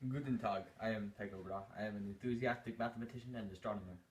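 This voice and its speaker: A man's voice: fairly low pitch, fairly even intonation, fast, close to an everyday voice. A man playing a proud noseless astronomer who speaks in a stiff, formal way. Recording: echoey room.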